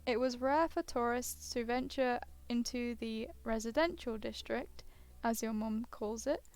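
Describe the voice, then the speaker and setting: Tired gamer girl streamer, woman w high clear voice reading a visual novel out loud, keeps butting in on herself. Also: a little slow. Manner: hesitant, self-interrupting asides. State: tired, yawning. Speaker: gaming streamer. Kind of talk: reading a visual novel aloud with casual commentary.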